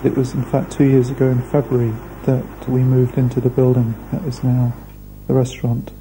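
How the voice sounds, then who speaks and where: A man, speaking thoughtfully in a documentary interview with measured pauses. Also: medium pitch, completely flat delivery, warm tone, a little hiss or hum, poor audio. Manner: measured, thoughtful, hesitant fillers. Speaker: restaurant founder. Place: indoor room.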